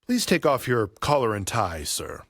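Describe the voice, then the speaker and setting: An actor playing a polite, deferential, chatty barber in an audio play. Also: male voice, mid-range voice, wildly swooping pitch, normal speed, gravelly, a bit bright, slightly rough, dry recording, clean studio sound, heavily acted. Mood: polite, obliging. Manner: polite, deferential, repeatedly saying sir. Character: deferential, chatty barber quietly stalking his customer. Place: professional recording studio.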